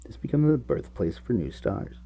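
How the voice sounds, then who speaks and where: Male book reader, musing and expressive, lively pitch swings over a creaky, fry-edged tone. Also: normal speed, a little hiss or hum. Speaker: host reading a book chapter aloud. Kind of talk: read-aloud of a book with offhand asides.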